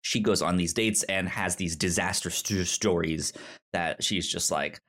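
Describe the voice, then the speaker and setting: A man co-hosting a podcast, casually discussing movies in a halting way, often repeating syllables and the starts of words. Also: low voice, fairly bright tone. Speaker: podcast co-host.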